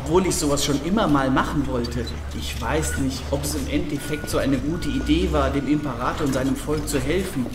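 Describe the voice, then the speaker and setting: A man's voice: medium pitch, brisk, hardly any pauses, background noise, natural voice. A man playing a nervous, whiny, self-deprecating podcaster turned alien fighter in a sci-fi comedy audio drama. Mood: grumbling, uneasy. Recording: echoey, distant sound with music behind.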